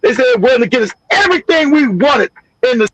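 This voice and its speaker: A man's voice: extremely high pitch, very animated, fast talker, a bit bright, husky, rough recording. A man hosting a livestream, delivering angry, blunt, profane political commentary. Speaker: livestream host.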